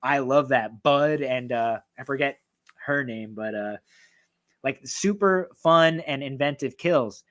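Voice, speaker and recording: A man with a voice going hoarse, excitedly and profanely ranking horror films in a rambling, casual way. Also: mid-range voice, slow. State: losing his voice, hoarse. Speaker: horror film reviewer. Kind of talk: casual film ranking talk.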